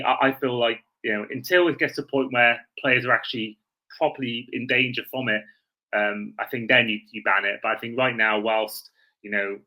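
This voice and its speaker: A man's voice: mid-range voice, sharp tone, poor audio. A man hosting a sports podcast in a casual, conversational way. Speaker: podcast host. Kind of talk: casual sports talk, introducing callers.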